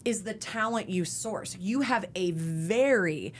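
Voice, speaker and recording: Female podcast host, 30s, low-ish voice, enthusiastic, desk mic. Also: slow pace, a little hiss or hum. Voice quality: lower voice. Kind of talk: casual podcast interview. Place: purple-lit podcast studio. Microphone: desk mic on boom arm.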